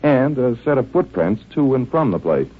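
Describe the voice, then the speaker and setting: An actor playing a genial, shrewd detective doctor in 1940s radio drama style, with a folksy, musing delivery. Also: male voice, mid-range voice, very animated, normal speed. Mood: puzzled, deliberate. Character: genial, shrewd male detective doctor investigating a desert murder. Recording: muffled, narrow-band vintage radio recording.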